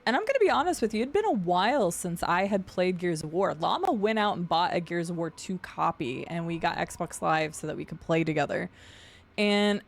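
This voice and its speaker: A young adult woman with a gravelly voice and deep vocal fry, chatting casually while streaming a game. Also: animated, brisk. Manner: chatty, swears and laughs at the game. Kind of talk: casual live-stream chat while gaming. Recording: faint game music behind.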